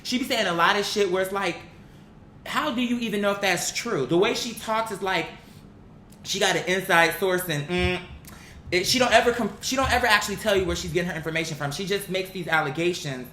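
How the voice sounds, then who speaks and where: Bright, blunt vlogger in an echoey room. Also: fairly quick. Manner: blunt, slangy, emphatic. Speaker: vlogger giving opinions on celebrity gossip. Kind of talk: casual opinionated commentary. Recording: roomy echo, faint rumbling background noise.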